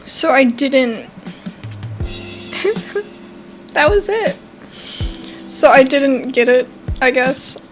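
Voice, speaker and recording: High-pitched, animated young woman gamer. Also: drawn-out words, pauses often. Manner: chatty, informal, thinking aloud. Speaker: gaming video host. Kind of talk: casual game commentary. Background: faint game music.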